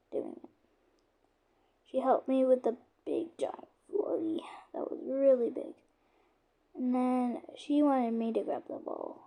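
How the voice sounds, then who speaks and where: A woman casually narrating a made-up day in first person, her voice sore and lowered, often dropping to a soft whisper as she rambles on. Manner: rambling, with frequent "obviously" and restarts. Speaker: channel creator narrating a roleplay.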